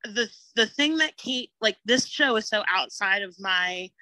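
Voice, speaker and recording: A woman's voice: medium pitch, lively intonation, unhurried, pushed and bright, no echo, rough recording. A woman in a casual podcast discussion, explaining her opinions in loose, conversational phrases full of filler "like". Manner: conversational, peppers speech with "like".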